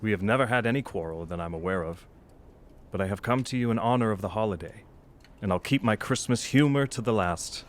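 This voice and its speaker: An actor playing a cheerful, earnest, warm-hearted young nephew in an audio drama, hearty and pleading in manner. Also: male voice, lowish voice, a little fast, slight background noise, natural voice. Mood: pleading, kindly.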